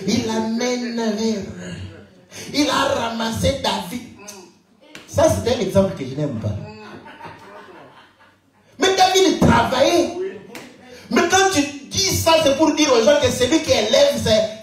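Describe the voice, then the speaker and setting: A man from Congo preaching a sermon, swinging from loud exclamations to quiet asides, asking the congregation rhetorical questions and laughing often. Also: recorded from a distance. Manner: animated, swings between loud high exclamations and low murmured asides. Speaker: pastor. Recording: very echoey room, speaker away from mic.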